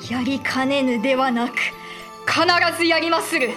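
A woman's voice: high-pitched, moderate pace, pushed and bright, faint music behind. A woman playing a proud, defiant young Japanese noblewoman in a voice drama, speaking in archaic, formal declamation. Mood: fierce resolve. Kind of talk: voice drama acting.

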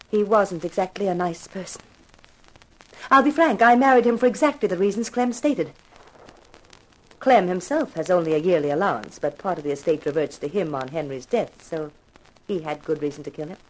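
An actress playing a frank, self-possessed young widow confiding intimately in a detective in a 1950s radio mystery. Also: female voice, lowish voice, poor audio. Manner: candid, intimate.

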